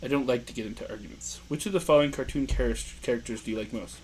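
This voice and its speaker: A young man with a loud voice, co-hosting a casual podcast, joking, laughing and reading quiz questions aloud. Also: medium pitch, racing, slight background noise, low-quality recording. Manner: joking, playful, laughs often. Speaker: podcast co-host.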